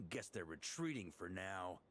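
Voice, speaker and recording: A man's voice: deep voice, fairly bright tone, slight background noise, full character voice. A man voicing a battle-hardened knight grand master in a video game, bold and easygoing in command.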